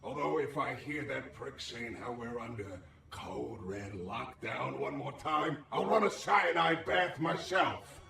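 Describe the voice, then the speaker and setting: An actor voicing a sly, streetwise, wisecracking anomaly in a video game, cocky and sardonic with folksy slang. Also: male voice, mid-range voice, animated, slow pace, creaky, sharp tone, exaggerated voice. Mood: cocky, irritated. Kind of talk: video game character acting. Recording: roomy echo, slightly distant.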